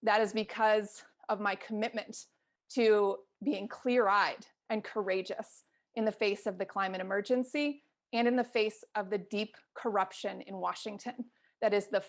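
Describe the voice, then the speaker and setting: A middle-aged American woman with noticeable creak in her voice speaks as a candidate at a debate. She is earnest and formal, laying out her case in articulate, prepared phrases at a medium pitch. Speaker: congressional candidate. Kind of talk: prepared town hall debate answer.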